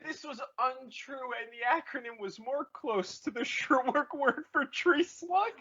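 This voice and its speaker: A man's voice: very high-pitched, unhurried, bright, forceful tone, slightly rough, dull audio. A man chatting casually as a podcast co-host. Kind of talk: casual chat.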